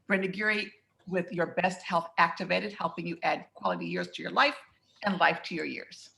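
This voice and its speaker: A woman selling wellness products, giving an earnest, personal networking pitch. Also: animated, normal speed, creaky, pushed and bright.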